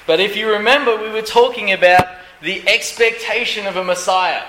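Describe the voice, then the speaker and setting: A hoarse man preaching a Bible study in short phrases, pausing often so an interpreter can follow. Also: high voice, noisy background. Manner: pauses often for translation, earnest. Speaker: pastor. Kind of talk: sermon with an interpreter. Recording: echoey room.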